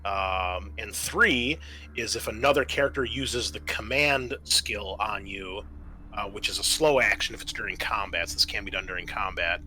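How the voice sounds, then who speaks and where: A man with a bright, forward voice hosts a tabletop roleplaying session as game master. He moves between explaining rules and narrating the players' actions. There is noise in the background of the recording. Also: on the low side, normal speed.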